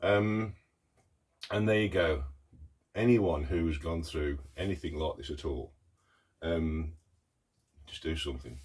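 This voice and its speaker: A middle-aged man with a croaky voice from a cough, talking haltingly and earnestly in a casual video diary. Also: very deep voice, darkish tone. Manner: halting, many ums and pauses, earnest. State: hoarse with a cough. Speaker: artist vlogger. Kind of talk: casual talk to camera.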